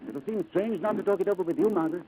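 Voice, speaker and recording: An actor playing a haunted, reserved English gentleman struggling with lost memory, halting and hesitant in 1940s radio style. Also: high-pitched, lightly acted. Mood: reserved, wistful. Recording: noisy old radio drama recording.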